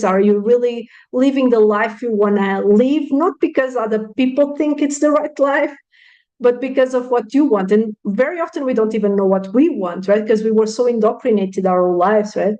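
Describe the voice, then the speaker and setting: A woman's voice: a little high, a little mellow, low-quality recording. A woman in her thirties, speaking frankly and reflectively as an interview guest, with many "you know" fillers. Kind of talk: casual interview conversation.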